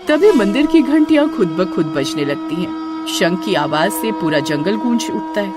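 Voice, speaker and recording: Lively woman narrating a spooky story. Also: medium pitch, normal speed, over music. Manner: dramatic, suspenseful storytelling.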